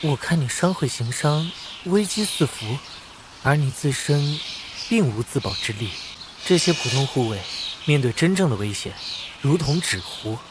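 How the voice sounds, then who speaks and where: A man playing a shrewd, ambitious young mecha-builder hero, assured and persuasive, in an animated fantasy drama. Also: medium pitch, normal speed, noisy background, close to an everyday voice. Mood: cool, assured.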